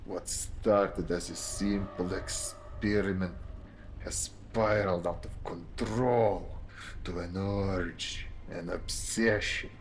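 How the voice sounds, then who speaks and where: A man playing a probing, wry diner customer in a noir audio drama, coaxing and deliberate. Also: low voice, exaggerated voice.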